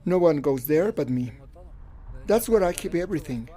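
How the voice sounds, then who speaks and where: Man voicing a mellow, higher-pitched older hairdresser, candid. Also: animated, normal speed, close to an everyday voice. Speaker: English voice-over for an interviewee. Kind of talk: voice-over translation.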